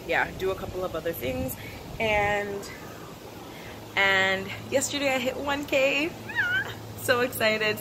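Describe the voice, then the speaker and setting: A woman's voice: medium pitch, noisy background. A young woman vlogging to a phone held at arm's length, talking casually with many ums and likes. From Canada. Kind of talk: casual vlog talk to camera. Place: outdoors on a porch and in a car.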